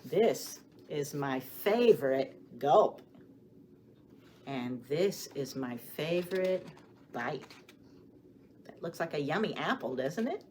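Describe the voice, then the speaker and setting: Woman, children's storytime reader, slow pace, very varied pitch, expressive, questioning the kids, background noise. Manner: addresses children directly with questions and pauses for answers; sing-song, expressive delivery. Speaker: children's librarian hosting storytime. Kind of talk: storytime read-aloud and rhymes for young children. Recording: clearly audible background noise.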